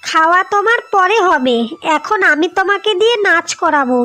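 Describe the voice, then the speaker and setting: A woman's voice: very high-pitched, expressive, normal speed, pushed and bright, full character voice. A woman playing a pushy, coaxing younger wife in a cartoon story. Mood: bossy, coaxing. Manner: bossy, coaxing. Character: pushy, phone-obsessed younger wife chasing viral fame. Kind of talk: Bengali cartoon story acting.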